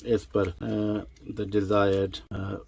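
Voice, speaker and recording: A man giving a casual hands-on product demonstration as a review presenter, halting and thinking aloud as he goes. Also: lowish voice, little pitch movement, gravelly, a little mellow, a little hiss or hum. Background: shortwave radio sounds.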